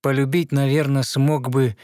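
A man voicing an affectionate, wistful young prince in a lyrical, measured storybook manner, speaking in verse. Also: medium pitch, flat delivery, darkish tone, no room sound, clean studio sound, lightly acted. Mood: wistful devotion. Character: young prince, affectionate, earnest, wistful, fairy-tale hero. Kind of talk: verse fairy-tale storytelling.

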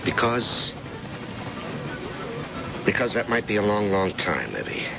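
A very low male voice with lively swings in pitch comes through a noisy old radio recording. a protective family friend in a vintage thriller, hesitant at moments and then blunt and urgent. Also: a little slow, gravelly, dull audio, exaggerated voice. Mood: reluctant, confiding. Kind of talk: old-time radio drama acting.

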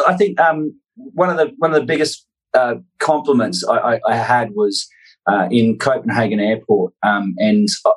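An Australian man in his forties telling casual personal anecdotes as an interview guest, in a halting, self-deprecating way. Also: on the low side, husky, poor audio. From North Queensland, Australia. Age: 40s.

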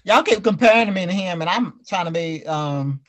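A man's voice: high voice, lively intonation, normal speed, fairly bright tone. A man hosting a casual talk show, chatting in a playful, teasing, slangy way. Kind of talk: casual chat with guests.

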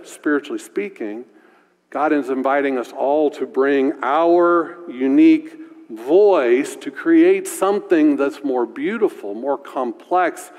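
A male pastor with a deep voice preaching a sermon in a conversational way, often asking "right?". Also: darkish tone, long reverb tail.

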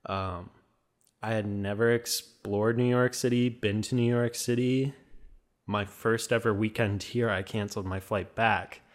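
A young man from the American Midwest with a slight Minnesota accent, a guest talking casually and softly with many fillers. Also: lowish voice, fairly even intonation, unhurried. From Midwest USA. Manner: soft-spoken, trailing off, many fillers. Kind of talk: casual interview.

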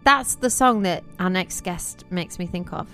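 A woman's voice: fairly low pitch, a little slow, a bit bright, faint music behind. Playful woman co-host. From York, England. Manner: playful, self-mocking, sings jingles. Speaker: podcast co-host. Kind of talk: casual podcast chat.

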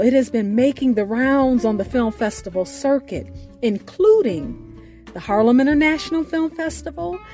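Female radio host, high register, earnest scripted intro over faint music. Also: darkish tone. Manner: earnest, grateful, measured delivery. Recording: faint music bed behind voice.

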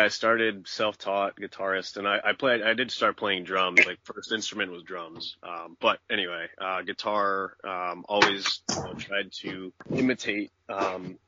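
A man, a guitarist and band guest, speaking haltingly in a casual interview with many ums. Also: low-pitched, bright, forceful tone, slight background noise. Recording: dry muffled recording.

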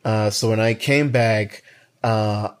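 Young guy from kenya telling his story on a podcast, kinda low voice, lots of uh and restarts. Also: fairly even intonation, takes it slowly, clean tone. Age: 20s. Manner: storytelling, frequent restarts and fillers. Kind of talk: casual conversation.

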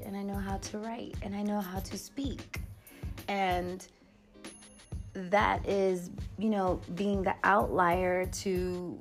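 A young woman from New chats to camera in a loose, rambling way, full of slang and asides. Her New is easy to hear, and her voice sits at an ordinary pitch for a woman. Faint music plays underneath. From New York. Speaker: vlogger. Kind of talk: casual chat to camera.

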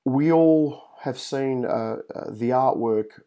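Mellow-voiced male interview host. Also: medium pitch, takes it slowly. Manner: rambling questions with many fillers.

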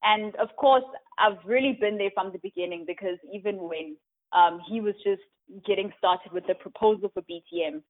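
A woman from Africa, speaking as an interview guest in a thoughtful, casual way, often pausing on "um" and checking in with "right?". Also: medium pitch, animated. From South Africa. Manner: thoughtful, many "um"s and "right?" tags. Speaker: guest, tech community co-founder. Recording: tinny phone-like line.

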